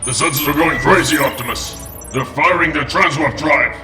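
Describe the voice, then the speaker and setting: A man's voice: on the high side, moderate pace, natural voice. Man voicing cautious robot engineer, echoey. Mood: worried. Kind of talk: comic dub acting. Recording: echoey room, music underneath.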